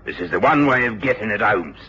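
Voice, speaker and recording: A man's voice: lowish voice, animated, fairly quick, sharp tone. An actor playing a cool British criminal henchman in a radio drama, giving orders in a hard, matter-of-fact way. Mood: cool, calculating. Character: cool, bossy criminal henchman taking charge. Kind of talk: old radio drama acting. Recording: dull, hissy old radio recording.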